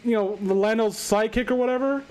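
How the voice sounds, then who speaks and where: A man's voice: sits high, a little fast, darkish tone. A man hosting a live stream, chatting casually and bluntly about films, rambling and swearing as he gives opinions.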